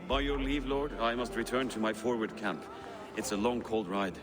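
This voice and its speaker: An actor playing a smug, mocking Viking warrior with a dry, sarcastic way of talking. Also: male voice, mid-range voice, steady tone, normal speed, natural voice. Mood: formal.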